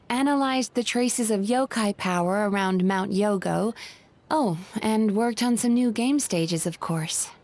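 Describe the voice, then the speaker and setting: A woman playing a tired, gentle and hardworking yokai therapist in a video game, polite and earnest. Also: fairly high pitch. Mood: weary, exasperated.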